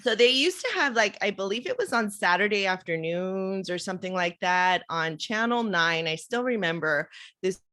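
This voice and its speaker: A woman's voice: mid-range voice, moderate pace. A middle-aged woman hosting a podcast, chatting casually and telling stories with lots of fillers. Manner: chatty, animated storytelling with frequent fillers and laughs. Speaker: podcast host. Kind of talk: casual interview chat.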